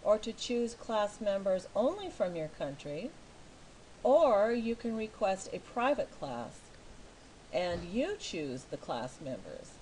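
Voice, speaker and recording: American woman, middle-aged, patient step-by-step tutorial, mid-pitched voice, faint hiss. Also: very animated, a little mellow, low-quality recording. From California. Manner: slow, patient, spells out each step. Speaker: online English teacher. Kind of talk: screen walkthrough tutorial.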